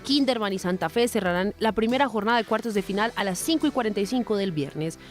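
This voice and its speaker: A woman's voice: medium pitch, expressive, fast, soft background music. A woman reading a scripted sports news report.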